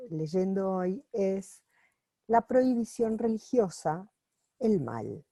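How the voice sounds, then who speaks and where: A woman reading a book aloud while translating it on the fly, pausing often with fillers and restarts. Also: medium pitch, warm tone, no room sound. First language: not Italian. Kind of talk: unscripted sight-translation reading.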